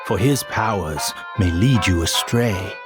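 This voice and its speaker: A wise, solemn cookie in a cartoon game. The voice is fairly low, slow and formal, with loud music behind. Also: male voice, lively intonation. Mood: grave warning. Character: grave, wise cookie warning others.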